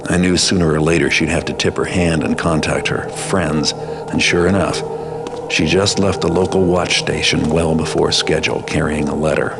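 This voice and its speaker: An actor playing a cynical, world-weary master thief in a video game, narrating in a deadpan, brooding noir style. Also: male voice, extremely low pitch, moderate pace. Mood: brooding, wary. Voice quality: gravelly.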